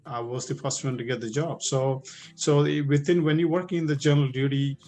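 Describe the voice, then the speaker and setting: A middle-aged man with a thick Indian accent talks in a loose, chatty way as a police officer answering questions at a recruiting session. His voice sits at an ordinary middle pitch. He often pauses on "uh" and restarts a sentence, and faint music plays behind him. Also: fairly even intonation, darkish tone. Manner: conversational, many fillers and restarts. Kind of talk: informal Q&A talk.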